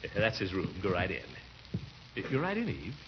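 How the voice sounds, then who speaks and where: A pompous American uncle from a classic radio sitcom. His pitch swoops widely as he blusters through theatrical exclamations. The old recording is dull, with a faint hiss of noise. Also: huge pitch swings, brisk. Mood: genial, hearty. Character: blustering, pompous middle-aged uncle and guardian. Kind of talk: 1940s-50s radio comedy acting.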